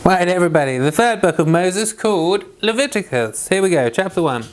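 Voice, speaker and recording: A man's voice: high voice, lively intonation, fairly quick, slight background noise. A man leading an informal Bible read-along, reading scripture aloud and giving chatty devotional commentary.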